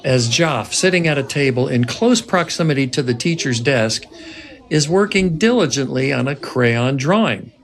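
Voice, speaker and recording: Mid-pitched narrator reading screenplay directions. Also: animated, normal speed. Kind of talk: scripted screenplay narration at a table read.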